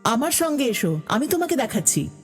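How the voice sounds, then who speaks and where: A woman as a high-voiced talking unicorn, echoing. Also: very animated, fairly quick, breathy edge, heavily acted. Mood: calm, friendly. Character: proud female talking unicorn, gentle magical creature. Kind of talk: cartoon fairy tale dialogue. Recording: long reverb, music behind the voice.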